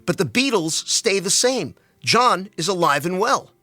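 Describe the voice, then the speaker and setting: A man's voice: sits high, animated, a little slow, bright, forceful tone, dry recording, faint music behind, heavily acted. A man playing a curious, joking married teacher in a dramatic reading, with an inquisitive, wry manner. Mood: chatty, enthusiastic.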